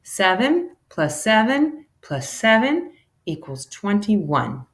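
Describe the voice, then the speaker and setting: A woman giving an animated, deliberate read-aloud of a children's educational storybook, shifting between character lines. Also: mid-range voice. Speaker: teacher reading a children's math book aloud.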